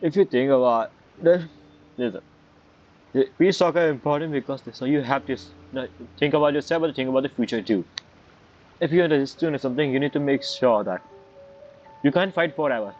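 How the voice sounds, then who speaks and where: A man's voice: mid-range voice, a little mellow. Guy streaming a game, informal stop-start talk, bit dull mic, music in back. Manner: halting, informal. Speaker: gaming streamer. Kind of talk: casual gameplay commentary.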